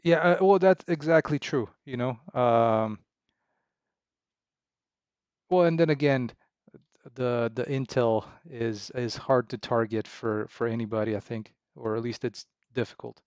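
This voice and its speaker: A man in his thirties from Romania, chatting casually with livestream viewers in a halting, relaxed way full of fillers and restarts. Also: mid-range voice, smooth, no room sound. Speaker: indie game developer streaming. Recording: dull, slightly lo-fi dry sound.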